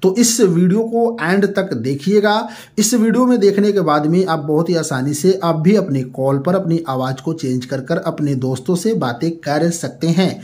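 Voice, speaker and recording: A man's voice: on the high side, moderate pace, a little mellow. A man hosting a tech tutorial, explaining an app step by step in a repetitive, instructional way.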